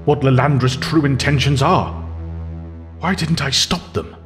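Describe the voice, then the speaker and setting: A man's voice: mid-range voice, measured pace, long reverb tail, faint music behind, natural voice. A man playing a troubled older professor.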